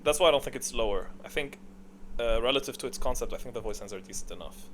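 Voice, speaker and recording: A man's voice: mid-range voice, fast, fairly bright tone, a little hiss or hum. A man giving casual, unscripted gaming commentary, thinking aloud in an opinionated, rambling way. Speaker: video game commentator.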